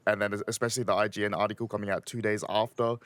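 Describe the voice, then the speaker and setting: A man's voice: lowish voice, level tone, racing, crowd noise. A man who is a podcast regular, chatting casually in an informal, slangy way. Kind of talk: casual chat.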